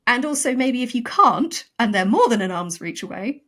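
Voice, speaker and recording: A woman's voice: fairly high pitch, big pitch swings, moderate pace, a bit bright. A woman guest on a podcast, a writer, talking emphatically and repeating herself for effect. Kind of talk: casual podcast chat.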